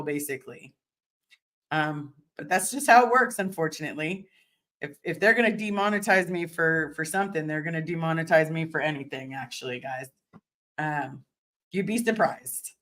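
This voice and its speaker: A woman with a deep voice, slightly hoarse today, hosting a casual livestream and answering viewer chat in a rambling way, often restarting and repeating words. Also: moderate pace, a bit bright.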